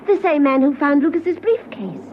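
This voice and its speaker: A woman's voice: high voice, very animated, normal speed. An actress playing a suspicious, alert young woman in an urgent manner, in a vintage radio drama. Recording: dull old radio recording, noisy background.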